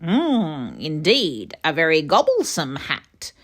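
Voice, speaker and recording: A woman's voice: low-pitched, wildly swooping pitch, slow, a bit bright, exaggerated voice. A woman voicing a greedy, menacing male crocodile with a drawl, in a children's storybook. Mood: greedy, taunting. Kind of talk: children's storybook read-aloud.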